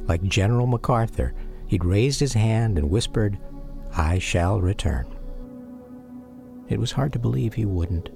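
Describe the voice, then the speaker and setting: A deep-voiced American man narrates a radio memoir over clearly audible music. His delivery is measured and reflective, like someone carefully telling a family's story. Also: lively intonation.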